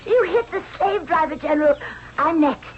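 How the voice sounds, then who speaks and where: An actress playing a strong-willed, frank young princess in an old-time radio drama. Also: female voice, very high-pitched, lively intonation, moderate pace. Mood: excited. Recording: muffled radio recording with clear noise.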